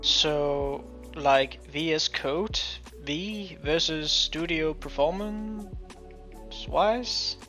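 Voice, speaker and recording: A Danish man who is not a native English speaker, streaming casual game-dev commentary in a halting way full of restarts. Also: a little high, bright, forceful tone, soft background music. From Denmark. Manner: halting, many restarts and fillers. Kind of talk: casual live-stream commentary while coding. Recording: muffled lo-fi stream audio.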